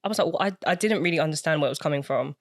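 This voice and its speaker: Soft-spoken young woman telling stories. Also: low-pitched, racing, no echo, clean studio sound. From Britain. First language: Cantonese. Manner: soft-spoken, reflective storytelling with many fillers. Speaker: guest. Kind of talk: casual podcast chat.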